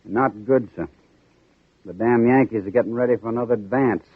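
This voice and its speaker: Husky, folksy soldier played by a man. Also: medium pitch, a little fast, no echo, lightly acted. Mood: grave, sly. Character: folksy Southern corporal, secretly a cunning Union spy. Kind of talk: 1940s-50s radio drama acting. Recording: muffled narrow-band old radio recording.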